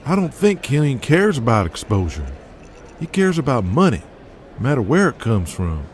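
Man playing a folksy wrestling adviser, audio drama, persuasive with some hesitations, lively pitch swings, faint background noise. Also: moderate pace, close to an everyday voice. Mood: worried. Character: shrewd, folksy male wrestling business adviser.

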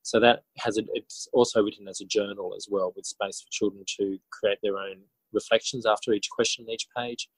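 A man in his forties with an Australian accent, talking as a guest in a casual podcast interview in a halting, reflective way. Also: on the low side, little pitch movement, breathy edge, no room sound, rough recording. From country New South Wales, Australia. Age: 40s. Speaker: interview guest, schoolteacher and author.

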